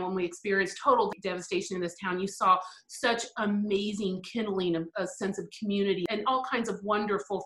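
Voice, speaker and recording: A middle-aged woman being interviewed, speaking in an animated, reflective way and laughing often. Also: mid-range voice, echoey room, low-quality recording. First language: English. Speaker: guest. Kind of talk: interview conversation.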